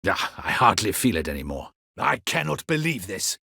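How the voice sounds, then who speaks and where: An actor playing a proud, stern captain of a fantasy ducal guard in a video game, speaking formally in clipped, commanding, archaic courtly phrases. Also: male voice, fairly low pitch, big pitch swings, moderate pace, gravelly, sharp tone, husky, heavily acted. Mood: dry, irritated. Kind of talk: dramatic video game voice acting.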